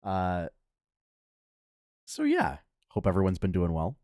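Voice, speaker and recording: Podcast host: young man, low voice, unhurried, stuffy-nosed, dry close mic. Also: huge pitch swings, warm tone, no room sound. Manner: laid-back, many fillers and restarts. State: slightly nasal from a cold. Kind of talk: casual gaming news chat.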